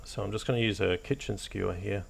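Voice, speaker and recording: A man hosting a hobby tutorial, narrating casually off the cuff in a hesitant, low-key, encouraging way. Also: fairly even intonation, quick pace, vocal fry, a little hiss or hum. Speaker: hobby craft tutorial host.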